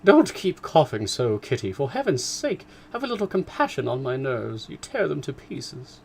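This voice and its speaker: A man voicing an excitable, complaining middle-aged mother, raised well above his own voice with emphatic swoops. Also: medium pitch, fairly quick, a little hiss or hum, pitched above the performer's own voice, lightly acted. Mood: resentful, scolding.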